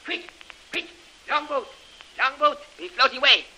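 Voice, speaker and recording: An actor playing an excitable henchman on lookout, urgently crying short repeated phrases in broken pidgin in an old radio serial. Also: very high-pitched, a little slow, full character voice. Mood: urgent, agitated. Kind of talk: 1930s radio adventure serial acting. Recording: hissy noisy old radio recording.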